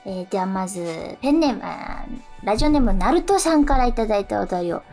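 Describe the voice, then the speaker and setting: A woman's voice: mid-range voice, big pitch swings, fast, mellow. A woman in her twenties co-hosting a radio show, chatting casually and politely. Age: 20s. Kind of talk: casual radio chat and reading listener letters. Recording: radio talk with faint background music. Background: faint music.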